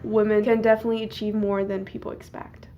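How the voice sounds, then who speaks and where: A teenage girl from China tells her story in a documentary interview. She speaks earnestly in an even, level tone with little lift, while faint music plays softly behind her. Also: medium pitch, fast talker, lo-fi sound. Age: teens. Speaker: student.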